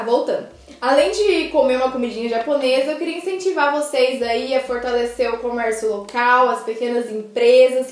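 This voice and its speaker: A woman's voice: a little high, roomy sound. A young woman from Brazil tasting food on camera in a casual vlog, gushing and talking straight to her viewers. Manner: gushing, animated, addresses viewers directly. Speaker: food vlogger. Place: small indoor room by a sofa.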